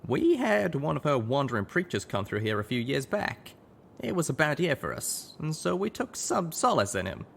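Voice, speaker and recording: A man's voice: on the high side, huge pitch swings, moderate pace, gravelly, slight background noise, voice pushed up, full character voice. A man voicing a brash, foul-mouthed pony townswoman with a blunt, colloquial manner for a story reading. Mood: subdued. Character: brash, foul-mouthed adult mare townswoman, proud and rough.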